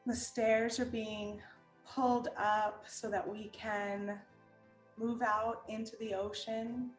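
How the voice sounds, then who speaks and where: A woman leading a guided meditation in a calm, gentle manner, giving unhurried instructions with long pauses. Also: a little high. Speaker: meditation guide and art teacher. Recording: roomy echo with music underneath.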